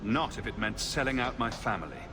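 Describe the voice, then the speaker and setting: A man's voice: mid-range voice, expressive, a little fast. A man playing a cool, sardonic adventurer hero in a fantasy video game. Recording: echoey, quiet game audio under music.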